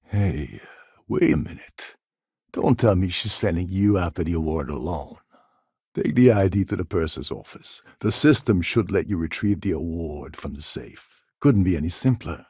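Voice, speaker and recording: An actor playing a gruff, cynical, self-serving space pirate in a video game, with a gravelly voice and a wry, sardonic, world-weary manner. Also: male voice, low-pitched, expressive, normal speed, dark tone, no room sound, clean studio sound, heavily acted. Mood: sardonic, impatient. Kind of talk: game character dialogue lines.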